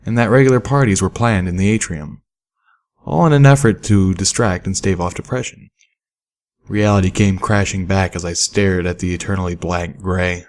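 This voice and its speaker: A male audiobook narrator with a fairly low voice. He reads scripted first-person fiction as the teller of the tale.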